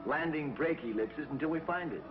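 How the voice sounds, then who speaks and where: An actor playing a confident rocket pilot who talks calmly and plainly, in 1950s TV sci-fi style, on a dull old soundtrack with music. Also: male voice, a little high, a little fast, natural voice. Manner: calm, matter-of-fact. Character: heroic male rocket pilot, steady and confident.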